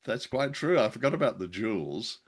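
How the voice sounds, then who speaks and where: A man's voice: on the low side, expressive, fairly quick, breathy edge. A man co-hosting a radio show, commenting on old drama with wry, theatrical phrasing.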